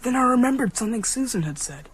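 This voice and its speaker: A woman's voice: fairly high pitch, animated, fast talker, slightly rough. A woman playing a frightened, defiant young boy who narrates and acts in a tense radio drama. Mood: resolved, anxious. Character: frightened but defiant young boy telling his ordeal.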